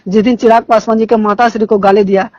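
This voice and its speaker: High-pitched young Bihari man, indignant, muffled line. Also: level tone, fast, a little mellow, dry recording. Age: young adult. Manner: indignant, emphatic, repeats phrases. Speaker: phone caller discussing local politics. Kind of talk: impassioned informal political phone talk. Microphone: phone call. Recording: dull lo-fi phone call recording.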